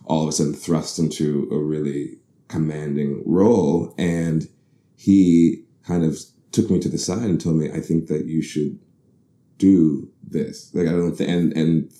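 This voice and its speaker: A man in his thirties from the Bahamas with an extremely low, gravelly voice. He talks through his life story in a candid, reflective way, restarting sentences and laughing easily. The dark, mellow tone rings out in a roomy, echoey space. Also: steady tone, unhurried. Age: 30s. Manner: thoughtful, self-correcting, laughs easily. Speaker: actor guest. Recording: roomy echo.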